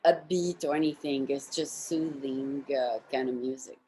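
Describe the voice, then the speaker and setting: A woman with a very low voice for a female speaker hosts a casual interview. She talks conversationally, putting questions and remarks to her guest. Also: a little slow, warm tone, a little hiss or hum, poor audio.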